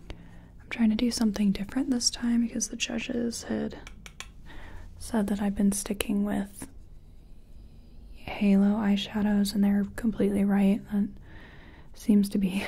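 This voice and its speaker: Woman acting as nervous makeup artist, very breathy whisper, mellow tone, hesitant murmuring, ASMR roleplay. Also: mid-range voice, flat delivery, measured pace, long pauses. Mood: focused, unsure. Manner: murmured, hesitant self-commentary while working. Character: nervous female makeup artist competing in a contest. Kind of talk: soft-spoken ASMR personal attention roleplay.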